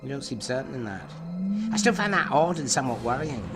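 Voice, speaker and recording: A man playing an enigmatic therapist in a fan audio drama, asking probing questions in a formal, measured, wry way. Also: medium pitch, very animated, loud music behind the voice, close to an everyday voice. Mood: probing, sceptical.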